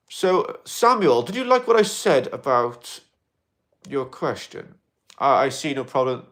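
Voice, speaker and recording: A man in an alien costume, chatting casually on a livestream and answering viewer comments in a rambling, excitable way. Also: mid-range voice, gravelly. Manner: rambling and excitable, with many fillers and restarts; loud bursts of excitement between quieter asides.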